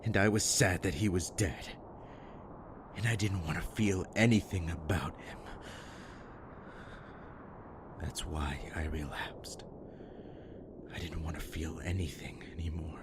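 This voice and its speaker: A man acting in an audio drama as a bitter, wounded, foul-mouthed survivor with a halting, raw delivery. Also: sits low, fairly even intonation, background noise. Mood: bitter, numb.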